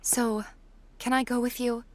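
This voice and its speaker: A woman voicing a gentle, caring young companion in a video game, sounding concerned. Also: a little high, level tone, measured pace, lightly acted.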